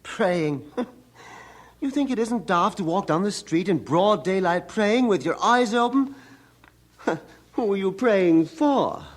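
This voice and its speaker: A man's voice: high-pitched, lively intonation, slow, full character voice. A man playing a boisterous, teasing young British brother in an audio drama, jovial and mocking.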